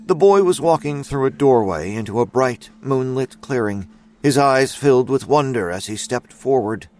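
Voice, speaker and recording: A man's voice: medium pitch, expressive, normal speed, darkish tone, natural voice. A man narrating a scripted audio drama as its storyteller.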